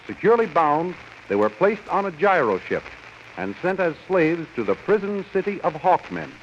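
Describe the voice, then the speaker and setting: Dramatic adventure announcer, sweeping intonation, vintage radio narration, lo-fi sound. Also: male voice, fairly high pitch, big pitch swings, moderate pace. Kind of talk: 1930s radio serial narration. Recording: muffled old radio recording, faint hiss.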